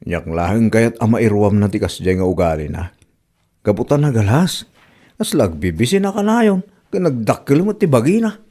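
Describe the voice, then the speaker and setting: A man's voice: fairly low pitch, sing-song, moderate pace, warm tone. A man with an Ilocano accent playing an exasperated in-law in a comedy drama. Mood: firm complaint.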